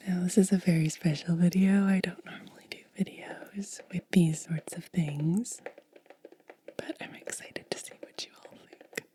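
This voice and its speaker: Female ASMR host, hushed whisper, low-pitched and mellow, unhurried short phrases. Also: steady tone. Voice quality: breathy, whispered. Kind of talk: soft-spoken ASMR.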